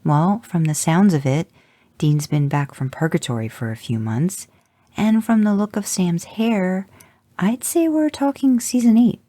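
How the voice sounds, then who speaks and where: A reader voicing a flustered, protective mother in an audio story, exclaiming and scolding in a raised, higher voice. The tone has a husky, gravelly grain. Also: animated, unhurried, warm tone, no room sound. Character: mother driving, anxious and protective.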